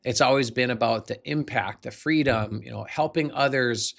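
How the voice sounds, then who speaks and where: A man's voice: mid-range voice, moderate pace, gravelly, a bit bright. An American man in his forties, a business coach telling a personal motivational story in an earnest, emphatic, conversational way.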